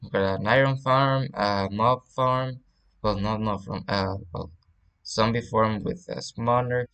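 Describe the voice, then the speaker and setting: Slow, halting man with a Spanish accent. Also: fairly low pitch, steady tone, creaky, fairly bright tone, clean tone. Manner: halting, often searching for words. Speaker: let's play creator. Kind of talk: casual game tour commentary.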